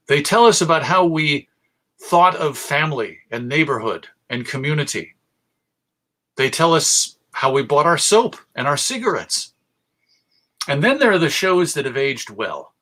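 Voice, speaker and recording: A man's voice: on the high side, sharp tone. An American man giving a conversational talk to an audience as a radio show host, with wry, jokey asides. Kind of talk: live presentation to an audience, conversational lecture.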